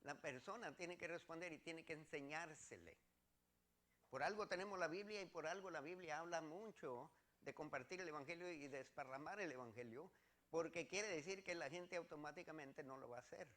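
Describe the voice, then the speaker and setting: A man's voice: fairly high pitch, moderate pace, a bit bright. A man with a Mexican accent preaching as a pastor, teaching scripture step by step to a congregation with emphatic, repeated phrases.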